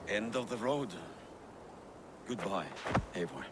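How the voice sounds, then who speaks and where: An actor playing a proud, disgraced Viking warrior who speaks formally of leaving. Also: male voice, on the low side, lively intonation, takes it slowly, heavy background noise. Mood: solemn. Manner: solemn, formal speech.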